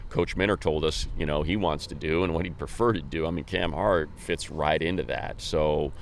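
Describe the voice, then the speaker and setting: A man's voice: a bass voice, steady tone, normal speed, a little hiss or hum. An American man hosting a sports show, talking casually in a rambling, slangy way. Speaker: sports radio host.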